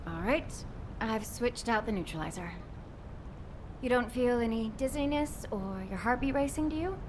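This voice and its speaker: A woman acting as a caring, protective scientist in a video game, earnest and emotionally expressive. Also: fairly high pitch, normal speed, background noise, lightly acted. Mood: worried, clinical.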